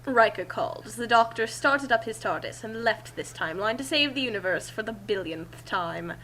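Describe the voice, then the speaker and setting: A woman's voice: a little high, animated, normal speed, fairly bright tone, a little hiss or hum, poor audio. A woman reading a story aloud in a theatrical way, with casual asides. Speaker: reader and commentator.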